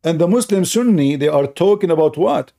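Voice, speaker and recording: Man, mellow voice. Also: fairly high pitch, animated, fairly quick, vocal fry. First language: Arabic. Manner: loose, talky storytelling with non-native grammar and frequent fillers. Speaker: commentator. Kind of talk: casual commentary while showing a video on screen.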